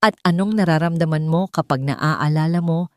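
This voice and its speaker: A Filipino woman playing an earnest, devoted husband in a narrated story, speaking in a measured way. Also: sits low, a little mellow, dry recording, clean studio sound, lightly acted. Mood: tender, loving. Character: tender, earnest husband and new father, formal, devoted.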